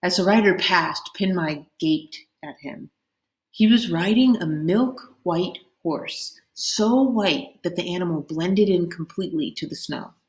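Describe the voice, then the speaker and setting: A woman reading a children's novel aloud in expressive, dramatic storybook narration. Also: fairly low pitch, a little slow. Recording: roomy, echoing indoor sound.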